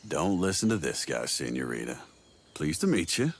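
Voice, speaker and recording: A man's voice: rumbling low, big pitch swings, moderate pace, vocal fry, husky, full character voice. A man playing a cocky middle-aged ex-agency operative in a video game, with a folksy, wisecracking, easygoing manner. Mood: easygoing persuasion. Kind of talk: cinematic video game dialogue.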